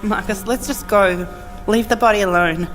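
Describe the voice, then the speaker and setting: A woman's voice: mid-range voice, normal speed, faint music behind, close to an everyday voice, poor audio. Actress as a feisty young female survivor. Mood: uneasy, annoyed. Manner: sarcastic, curt, headstrong. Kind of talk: conversational audio drama acting.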